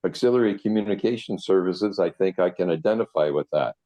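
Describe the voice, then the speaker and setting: A man's voice: low voice, a little fast, mellow. A man asking a polite question in an online meeting. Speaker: meeting participant, ham radio operator.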